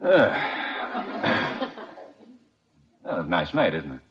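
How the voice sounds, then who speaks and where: An actor with an American accent playing a friendly but obstinate neighbor in an old-time radio sitcom. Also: male voice, deep voice, very animated, slow pace, crowd noise, full character voice. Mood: awkward, apologetic. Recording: dull vintage radio broadcast recording.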